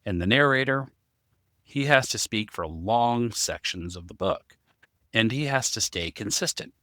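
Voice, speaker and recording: Bright, lowish-voiced man. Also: animated, a little slow, dry recording, clean studio sound. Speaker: podcast co-host. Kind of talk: conversational explanation.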